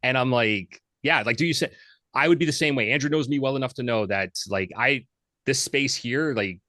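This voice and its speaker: A man hosting a casual interview, asking long, halting questions with many restarts. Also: mid-range voice, sharp tone, no room sound. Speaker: podcast host.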